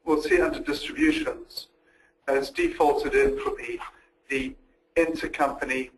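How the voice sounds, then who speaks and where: A man giving a methodical, step-by-step walkthrough as a software demonstrator in a recorded webinar. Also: mid-range voice, little pitch movement, measured pace, low-quality recording. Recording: noticeable background noise.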